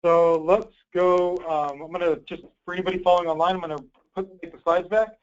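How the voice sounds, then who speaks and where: A man's voice: high voice, fairly even intonation, a little fast. A man hosting a conference call in an informal, obliging way, explaining things and taking questions over a muffled line. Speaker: host, grant program coordinator.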